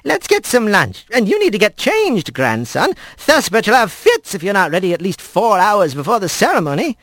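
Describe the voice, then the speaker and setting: A man's voice: sits high, wildly swooping pitch, moderate pace, fairly bright tone, no echo, voice pushed up, full character voice. A man voicing an old female squirrel grandmother who speaks in short, clipped, broken sentences and ends her questions with "yes". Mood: brisk.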